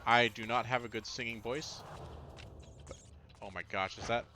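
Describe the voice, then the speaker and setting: A man's voice: medium pitch, fairly even intonation, moderate pace, background noise. A man giving casual, rambling gameplay commentary as a livestreamer, chatting with viewers and grumbling mildly at the game. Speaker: livestreamer, VTuber. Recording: music behind the voice.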